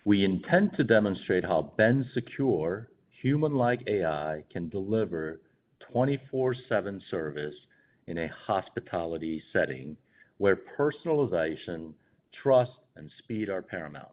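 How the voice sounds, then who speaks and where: A man speaking as a company executive, reading scripted earnings call remarks in a formal, measured way. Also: low-pitched, expressive, a little hiss or hum. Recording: dull, band-limited conference call audio.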